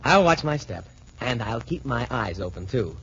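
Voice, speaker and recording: An actor playing an easygoing, confident young cadet boxer in 1940s radio style, with a breezy, wholesome, emphatic delivery. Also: male voice, mid-range voice, big pitch swings, normal speed, a bit bright, breathy edge, dull audio, heavily acted. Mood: calm, reassuring. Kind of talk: 1940s radio adventure acting. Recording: noisy band-limited 1940s radio recording.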